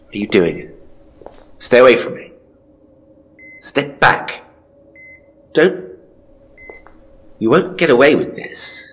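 A man's voice: medium pitch, sing-song, slow pace, long pauses, pitched above the performer's own voice. A man playing a terrified ghost tour guide in an audio horror story, stammering and breathless as he pleads. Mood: alarmed, defiant.

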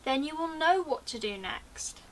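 A woman voicing a kindly, proud father sheepdog in a storybook, gentle and instructive. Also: high voice, animated, a little slow.

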